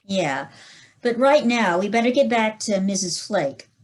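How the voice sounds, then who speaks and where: A woman's voice: mid-range voice, animated. A woman playing a dry, shrewd police inspector. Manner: brisk, dry, matter-of-fact. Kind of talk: 1940s radio acting.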